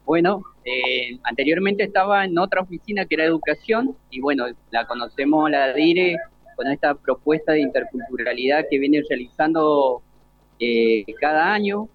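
Argentine guy, municipal official being interviewed, kinda high voice, sounds like over a phone. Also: animated, moderate pace, no echo. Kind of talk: unscripted interview answer. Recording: thin phone-like radio sound.

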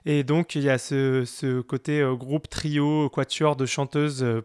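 A man co-hosting a music podcast, explaining things in a casual, halting way with repeated words. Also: medium pitch, little pitch movement, a little mellow, clean tone, no echo, studio quality. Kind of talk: casual album review chat.

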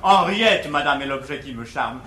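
An actor playing an earnest, exasperated young gentleman in a classical verse comedy, declaiming formally in 1950s stage style. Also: mid-range voice, animated, moderate pace, natural voice. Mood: earnest, pleading. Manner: declamatory, formal, emphatic delivery of rhymed verse. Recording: echoey, hissy 1950s theatre recording.

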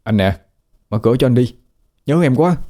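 A man's voice: mid-range voice, expressive, moderate pace, warm tone, close to an everyday voice. A man voicing an eager young boyfriend who coaxes his lover. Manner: coaxing, eager.

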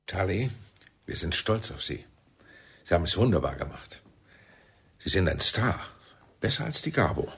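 An actor playing a commanding, smoothly persuasive intelligence chief in a radio drama, husky and deliberate. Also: male voice, low voice, very animated, a bit bright, exaggerated voice. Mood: coaxing, persuasive.

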